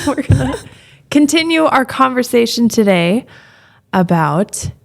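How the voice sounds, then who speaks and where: A woman's voice: fairly high pitch, big pitch swings, measured pace, creaky. A woman co-hosting a faith-based podcast, talking in a friendly, easygoing way with easy laughter. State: recovering hoarse voice. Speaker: podcast co-host. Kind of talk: casual chat.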